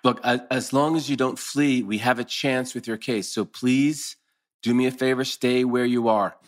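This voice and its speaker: A man's voice: mid-range voice, fairly even intonation, moderate pace, natural voice. A man playing a conciliatory, wry, earnest middle-aged husband in a TV drama table read.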